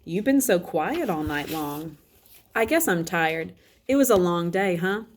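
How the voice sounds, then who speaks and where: A woman voicing a gentle, affectionate, softly coaxing father in a children's storybook. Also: lowish voice, expressive, measured pace, slight background noise. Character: gentle, affectionate, teasing father of a young boy.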